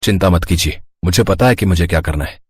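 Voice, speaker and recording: A man's voice: low voice, quick pace, breathy edge, no echo. Man voicing an earnest cartoon schoolteacher hero. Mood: confident, challenging. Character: puzzled, confident young-ish male schoolteacher, cartoon hero. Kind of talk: Hindi children's cartoon story dub.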